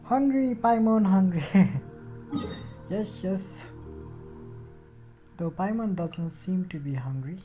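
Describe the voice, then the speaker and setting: Female game streamer: casual, unhurried, mellow tone, faint music underneath. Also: mid-range voice. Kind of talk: casual live stream chat while playing.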